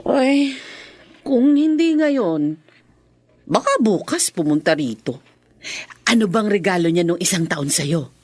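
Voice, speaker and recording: An actress playing a chatty, inquisitive and reassuring friend in a radio drama. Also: female voice, medium pitch, expressive, slow pace, pauses often, a little mellow. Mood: curious.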